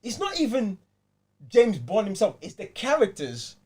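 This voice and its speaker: A man's voice: high voice, huge pitch swings, unhurried, darkish tone, breathy edge. A man with a London accent hosting a casual film chat, slangy and repeating phrases for emphasis. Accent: London British. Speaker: podcast host. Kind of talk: casual panel chat about films.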